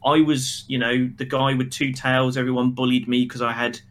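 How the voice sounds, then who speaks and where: A man's voice: mid-range voice, normal speed. A man co-hosting a podcast, giving an opinionated, casual film review in an emphatic way, acting out mock quotes as he argues. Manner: emphatic, animated arguing with acted-out mock quotes.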